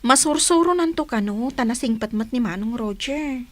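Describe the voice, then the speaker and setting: Actress for a frank, protective Ilocano big sister in a radio play: raised pitch, dry studio sound. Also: female voice, lively intonation, normal speed, no room sound, lightly acted. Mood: firm, frank. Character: firm, protective older sister advising on love. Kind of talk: Filipino Ilocano radio comedy drama acting.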